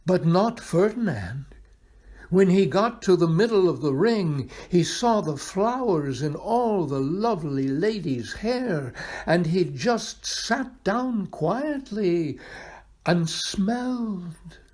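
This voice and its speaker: A man reading a children's picture book aloud expressively, pausing for drama and addressing his young listeners. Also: high-pitched, lively intonation, a little mellow. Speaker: volunteer storybook reader.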